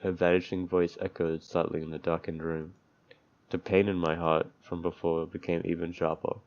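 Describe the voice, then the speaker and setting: Deep, gravelly man reading a visual novel. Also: little pitch movement, normal speed. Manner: crude, profane, sardonic asides. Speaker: streamer playing a visual novel. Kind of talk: let's play, reading game text aloud with commentary. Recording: dull lo-fi mic, music behind. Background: game music.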